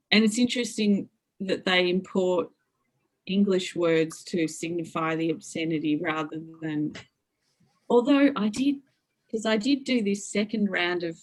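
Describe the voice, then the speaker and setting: A woman's voice: fairly low pitch, poor audio. A middle-aged woman lecturer being interviewed, thinking aloud with many fillers, restarts and hedges as she explains. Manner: thoughtful, lots of fillers and restarts. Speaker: interview guest, media lecturer. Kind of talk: academic interview talk.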